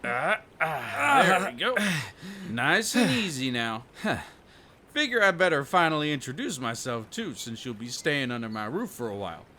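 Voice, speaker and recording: A man acts out a hospitable country fisherman in an audio roleplay. He has a bright, forward voice and speaks in plain folksy phrases with dropped g's. Some ambient noise sits behind him. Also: a little high, sing-song, measured pace, full character voice. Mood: hearty, helpful. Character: kindly rustic fisherman, folksy storyteller, hospitable.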